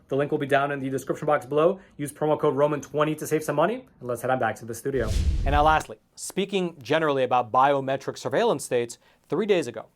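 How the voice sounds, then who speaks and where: A man hosting a scripted news commentary show, speaking emphatically with conversational asides to the viewers. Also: on the high side.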